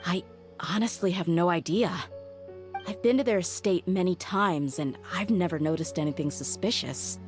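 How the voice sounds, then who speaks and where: A woman voicing a tough young female mercenary in a game cutscene, steady and loyal, thoughtfully reasoning things out aloud. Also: fairly low pitch, normal speed, faint music behind, close to an everyday voice.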